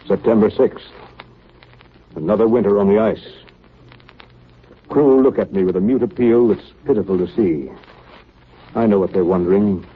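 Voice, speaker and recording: An actor playing a stern, authoritative navy captain in a 1930s radio drama, speaking formally and with command. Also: male voice, on the low side, normal speed, long pauses, breathy edge. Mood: grave, weary. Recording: noisy, tinny 1930s radio broadcast.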